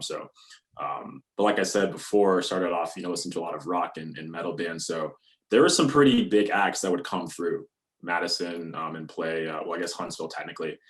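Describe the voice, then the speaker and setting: A young man from North Alabama with a deep, bassy voice, chatting casually as a musician guest with plenty of "you know" and "um". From North Alabama, USA. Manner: relaxed, many fillers like "you know" and "um". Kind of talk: casual interview talk.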